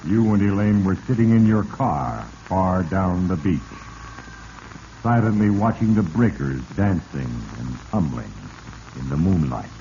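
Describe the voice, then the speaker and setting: An actor playing Fate, an all-knowing, stern spirit who narrates with deliberate accusation in a 1940s radio drama. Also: male voice, sits low, animated, mellow. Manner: deliberate, accusing second-person address. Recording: dull, noisy vintage radio recording.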